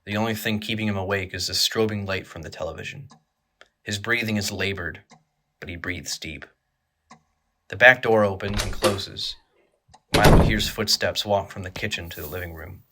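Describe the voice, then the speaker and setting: A man narrating a scripted horror audio drama in a measured, grave manner. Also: on the low side, background noise.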